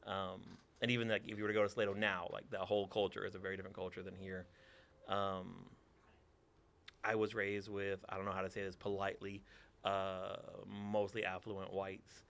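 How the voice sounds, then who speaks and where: Man, forties, from texas, theater teacher, low voice, dry teasing humor, casual interview answers. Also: little pitch movement, a little fast, vocal fry, a bit bright.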